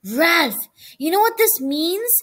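Fretful storybook mother mouse, very high and swooping, flustered, acted by a woman reader. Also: very animated, slow, a bit bright, full character voice. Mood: flustered, alarmed. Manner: flustered, exclaiming. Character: agitated, fretful mother mouse, scolding and alarmed.

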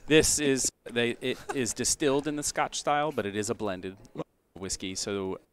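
A man's voice: mid-range voice, moderate pace, over a noisy crowd. A man hosting a podcast in a casual, chatty and jokey way. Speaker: podcast host.

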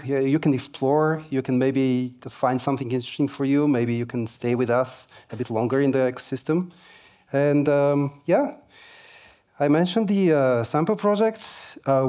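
A middle-aged man giving a keynote on a large conference stage, talking through a headset mic. His voice sits at an ordinary middle pitch. He speaks casually and haltingly, with plenty of ums and small jokes. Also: mellow. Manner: casual, halting, many fillers, light humor.

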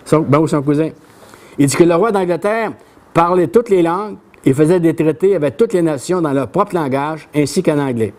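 An older Québécois man gives a relaxed history lecture in a small brick-walled café. His voice sits a little high for a man, yet sounds mellow and dark in tone. He talks plainly, reading passages aloud from a tablet. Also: moderate pace. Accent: Québécois French. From Quebec. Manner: plain conversational telling, reads quoted speeches with lifted pitch. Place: small bookshop café with brick walls, before an audience.

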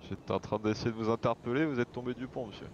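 A man's voice: medium pitch, fairly even intonation, fast. A man playing a police officer in an online roleplay, formal and matter-of-fact, over a dull voice chat. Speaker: player acting as a police officer. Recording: dull, faintly noisy voice chat.

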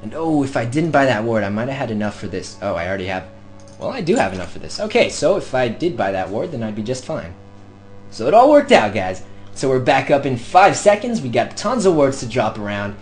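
A young man giving excited live gameplay commentary, shouting during fights and talking himself through moves, his voice going hoarse. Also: medium pitch, brisk, soft background music. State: losing his voice.

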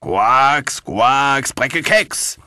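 A man's voice: mid-range voice, lively intonation, extremely slow, sharp tone, no echo, pitched above the performer's own voice, exaggerated voice. A man voicing a repulsive, bossy toad in an exaggerated storybook delivery. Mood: gloating. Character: repulsive mother toad, bossy, with croaking son.

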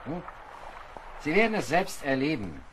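An actor playing a seasoned, knowledgeable and wry naval officer in an audio drama. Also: male voice, on the high side, wildly swooping pitch, normal speed, a bit bright. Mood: wistful, knowing.

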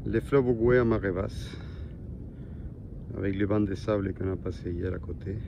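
A man narrating a sailing trip in a casual, relaxed running commentary on depth and course, outdoors on a catamaran on a river. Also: deep voice, normal speed, vocal fry. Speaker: sailing vlogger. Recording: dull windy outdoor camera audio. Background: wind, water and boat engine noise.

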